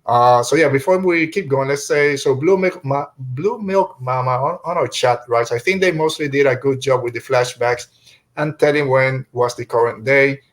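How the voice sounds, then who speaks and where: A man's voice: medium pitch, moderate pace. A man hosting a podcast, talking casually through a review and often rephrasing mid-sentence. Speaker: podcast host.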